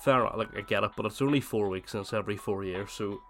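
Man, young adult, with a cold, low-ish voice, faint music behind; chatty gaming podcast host reading his essay. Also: fast talker. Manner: chatty and self-deprecating, then steady read narration. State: has a cold, voice slightly worn.

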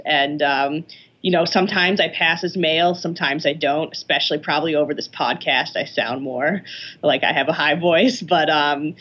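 A woman's voice: low voice, a bit bright. A non-binary podcast guest, heard as a woman, who speaks thoughtfully and casually, with frequent ums and likes. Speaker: panel guest. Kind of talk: casual podcast panel talk.

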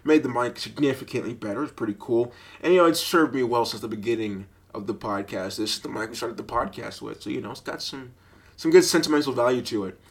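A young man with a deep voice hosting a casual solo podcast, telling stories in a rambling, animated way, full of fillers and laughter, into a budget mic with a sock pop filter. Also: fast, gravelly. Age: young adult. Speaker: podcast host.

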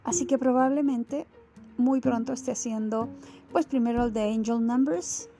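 A woman's voice: a little high, fairly even intonation, moderate pace. Lady podcaster rambling through her announcements, lots of ehs and restarts, music playing behind. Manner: chatty, warm thanks with many fillers and restarts. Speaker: podcast host.

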